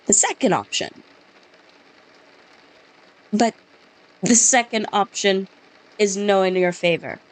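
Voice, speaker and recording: A skeptical Scottish lawman who brushes off every claim with curt scorn, as a woman reads him aloud from a game. The voice sits at a middle pitch for a woman, and the accent is rough and put on. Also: takes it slowly, long pauses, lightly acted, poor audio. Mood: scornful. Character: skeptical dismissive male Scottish police officer. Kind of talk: reading game dialogue aloud.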